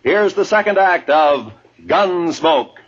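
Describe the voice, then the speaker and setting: A man's voice: a little high, lively intonation, slow pace, very noisy. A man with an American accent reading scripted announcements in 1950s radio style. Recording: muffled 1950s radio recording.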